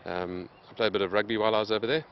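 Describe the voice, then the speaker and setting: Interview subject: man in his late 20s with a low voice, talking steadily with lots of "um"s, in a dull, lo-fi outdoor recording. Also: a little hiss or hum. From Zimbabwe. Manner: steady, plain, many "um" pauses. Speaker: interviewed athlete. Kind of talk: casual interview answers.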